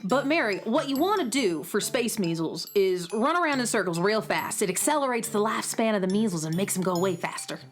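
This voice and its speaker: A woman's voice: medium pitch, expressive, brisk, hardly any pauses, lightly acted. Kindly, take-charge space cowgirl played by a woman: mid-range voice, breezy folksy drawl, kids' radio serial. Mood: kindly, bossy. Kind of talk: children's radio serial acting.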